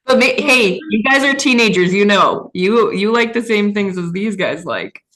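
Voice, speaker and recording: A woman's voice: mid-range voice, lively intonation, moderate pace, a bit bright, rough recording. A woman hosting a live online youth event in an upbeat, chatty way. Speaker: host.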